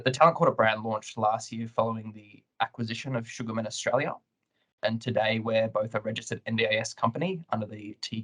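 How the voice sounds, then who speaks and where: Man, lowish voice, flat intonation, measured, professional, webinar guest explaining. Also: poor audio. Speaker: client guest, compliance lead. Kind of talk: webinar interview answers.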